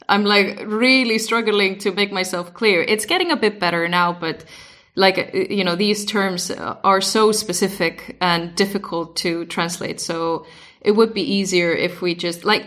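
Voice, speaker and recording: A woman from Iceland speaking in a mid-range voice. She talks candidly and openly, often pausing with "uh" and "you know". She laughs easily as she talks. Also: medium pitch, a bit bright. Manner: candid, laughing, hesitant fillers. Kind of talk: casual discussion.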